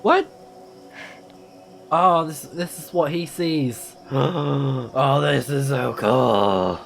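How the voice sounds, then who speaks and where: A man's voice: mid-range voice, drawn-out words, gravelly, soft background music. A man livestreaming a video game, giving casual, easygoing commentary in short reactive exclamations.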